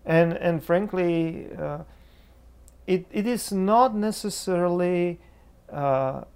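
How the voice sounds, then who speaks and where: A man's voice: high voice, slow pace, creaky, darkish tone, clear, resonant tone. A man with a Czech accent speaking as a preacher in a reflective theological discussion, searching for words as he goes. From Czech Republic. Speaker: preacher, interview guest.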